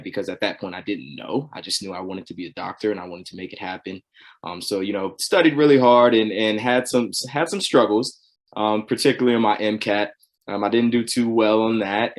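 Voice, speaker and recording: A young man with a somewhat low voice talks over a video call. He is earnest and reflective and often fills pauses with "you know". He speaks as a student panelist.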